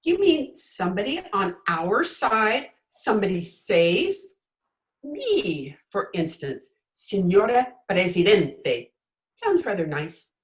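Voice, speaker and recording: A woman's voice: mid-range voice, sing-song, takes it slowly. A woman playing a vain, ambitious companion of a dictator, blunt and coquettish, in an old-time radio comedy. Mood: coy, curious. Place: home room, recorded over video call.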